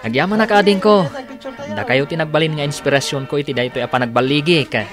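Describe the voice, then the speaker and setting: A man's voice: fairly high pitch, quick pace, music in the background, close to an everyday voice. An actor playing an earnest, grateful young policeman in a radio drama, with heartfelt delivery. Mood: grateful, hopeful. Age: young adult. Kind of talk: Filipino radio drama acting.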